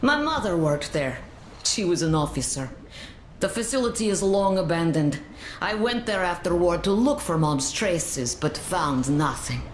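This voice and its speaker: A woman's voice: lowish voice, big pitch swings, a little slow, slight background noise. A woman playing a hardened young rebel fighter in a video game, terse and resolute. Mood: earnest. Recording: echoey reverberant hall, music behind. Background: game music.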